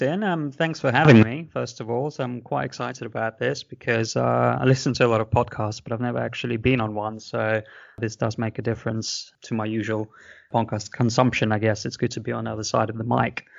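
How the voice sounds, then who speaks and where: Gravelly-voiced man, chatty podcast guest. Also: medium pitch, no echo. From Russia. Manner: chatty, enthusiastic, explains at length. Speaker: podcast guest, travel blogger. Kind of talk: casual chat over a video call. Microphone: video call microphone. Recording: dull, lo-fi call audio.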